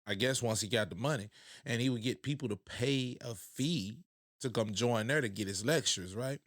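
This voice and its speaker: A hoarse man hosting a reaction video, talking in a loose, slangy, conversational way. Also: medium pitch, no echo, studio quality. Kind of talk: casual commentary.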